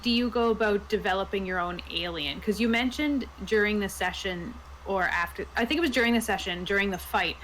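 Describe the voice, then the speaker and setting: She is a woman with a medium-pitched voice. She chats informally, as a co-host talking shop about tabletop games would. The recording carries some faint background noise. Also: fairly bright tone, poor audio. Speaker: co-host and player in a roleplaying discussion. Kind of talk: casual chat.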